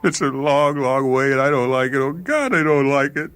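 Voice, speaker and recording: A man's voice: medium pitch, normal speed, fairly bright tone, clean tone, pitched above the performer's own voice, lightly acted. A man voicing a panicking spaceman who wails and pleads in terror of falling to his death. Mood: terrified, wailing. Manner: wailing, pleading, repetitive.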